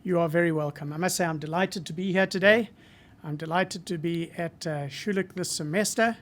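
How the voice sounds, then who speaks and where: A man in his sixties from Zimbabwe with a Zimbabwean accent, a marketing professor speaking as a guest in a podcast interview, reflective and measured with frequent hesitations. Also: on the high side, a bit bright.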